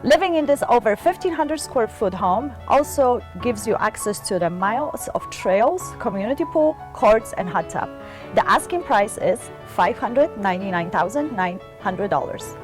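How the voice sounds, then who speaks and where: A woman from Poland with a Polish accent, a real estate agent reading home listings on a radio show in a measured, promotional way. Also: on the high side, expressive, music in the background.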